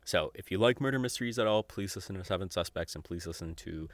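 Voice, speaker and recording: A man's voice: deep voice, quick pace, no room sound. A man in his twenties hosting a podcast, talking informally and unscripted with some swearing and frequent chuckling. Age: 20s. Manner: informal, profane, chuckles often while talking. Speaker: podcast host. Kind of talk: casual, unscripted podcast recommendations.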